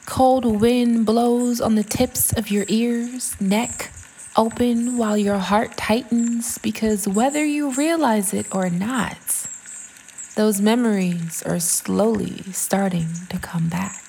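A woman narrating a poetic audio drama as a scripted piece, with dramatic, deliberate phrasing and pauses. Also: fairly high pitch, vocal fry, dark tone, slight background noise. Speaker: poet and narrator.

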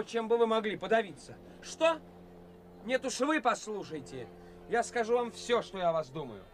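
An actor playing an ambitious, theatrical young stage actor in a 1980s radio play. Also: male voice, high-pitched, slight background noise. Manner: theatrical, expressive.

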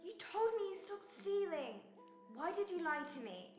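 Audio drama, hurt young sister, played by a woman: high, lively pitch, earnest and reproachful. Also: moderate pace, faint music behind. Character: young working-class sister, hurt, reproachful, pleading with her brother.

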